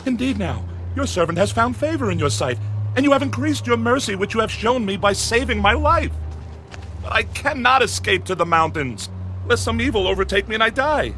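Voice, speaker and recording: A man's voice: high voice, animated, brisk, pushed and bright, a little hiss or hum, heavily acted. An actor playing an anxious, deferential man in a dramatized audio Bible, imploring with urgent emphasis. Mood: anxious pleading.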